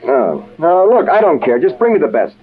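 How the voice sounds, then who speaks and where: Casting: hardboiled American adventurer, flippant, very lively pitch, phone-thin vintage radio sound. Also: male voice, moderate pace. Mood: brusque, flippant. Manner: flippant, tough-talking, casual. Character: brash wisecracking American undercover agent, hardboiled narrator. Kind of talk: old-time radio adventure acting. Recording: tinny, band-limited old radio recording.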